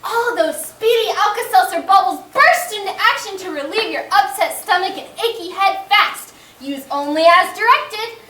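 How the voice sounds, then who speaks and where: Teeny squeaky kid mascot in an old commercial jingle, echoey old recording. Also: lively intonation, normal speed, few pauses, sharp tone, heavily acted. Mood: perky, sing-song. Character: Speedy, small squeaky childlike cartoon advertising mascot. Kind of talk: vintage jingle and advertising pitch. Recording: echoey, distant, slightly noisy old ad recording.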